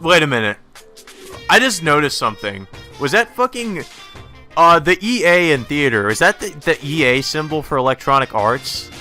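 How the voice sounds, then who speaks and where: Casting: male let's play host, bright forceful voice, offhand and sweary, casual delivery over background music. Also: fairly high pitch, sing-song, moderate pace. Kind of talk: casual gaming commentary reading a visual novel aloud. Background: faint game music.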